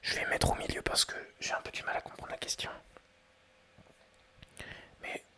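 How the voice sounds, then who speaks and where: A man's voice: moderate pace, bright, forceful tone. Whispering young man thinking aloud. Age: 20s. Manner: hesitant, rambling, thinking aloud. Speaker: ASMR creator. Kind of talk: whispered ASMR quiz commentary.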